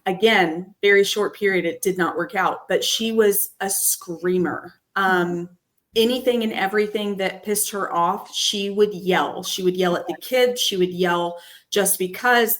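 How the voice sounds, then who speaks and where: Woman host, 30s, candid storyteller, lowers voice for emphasis. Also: fairly bright tone. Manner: candid, storytelling, drops voice low and slow for emphasis. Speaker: podcast host. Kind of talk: casual personal chat.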